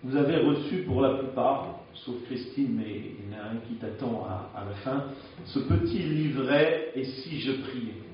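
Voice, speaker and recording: A man's voice: mid-range voice, measured pace, slight background noise. A man preaching a sermon in a frank, conversational way, often hesitating as he speaks, recorded in a church. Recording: echoey church, distant dull mic.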